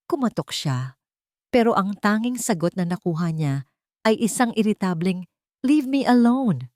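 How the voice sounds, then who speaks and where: A female storyteller delivers dramatic scripted narration. Her pitch sits a little low. The recording is close and dry, without room sound. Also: animated, moderate pace, a little mellow, no room sound.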